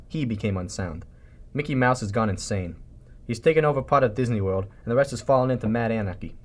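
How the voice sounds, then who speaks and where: Man playing a stern, mid-pitched executive. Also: lightly acted. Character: stern executive briefing a captain on a secret mission. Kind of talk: radio drama parody acting.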